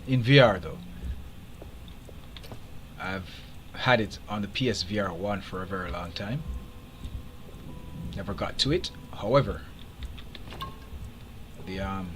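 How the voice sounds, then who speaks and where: A man's voice: low voice, slow pace, pauses often, a bit bright. Jamaican male streamer, chatty commentary, faint background noise. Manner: chatty, opinionated, laughs often. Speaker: game streamer. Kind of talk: casual live gameplay commentary.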